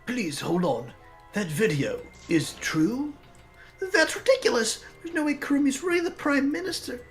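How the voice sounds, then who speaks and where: Earnest, disbelieving anime student, amateur dub actor, mid-pitched with very lively inflection, music behind. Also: normal speed, close to an everyday voice. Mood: incredulous. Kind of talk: amateur anime fandub acting.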